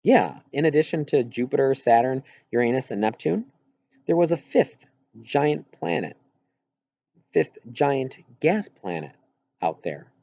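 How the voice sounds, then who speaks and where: A man reading an audiobook, voicing an earnest boy who eagerly explains things and has a quietly wistful streak. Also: medium pitch, sing-song, unhurried, vocal fry, no room sound, dull audio, exaggerated voice. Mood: eager, explaining. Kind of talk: audiobook character dialogue.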